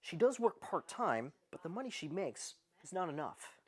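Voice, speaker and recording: A woman reporter reading a scripted news voice-over in a measured, emphatic way. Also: fairly low pitch, very animated, husky.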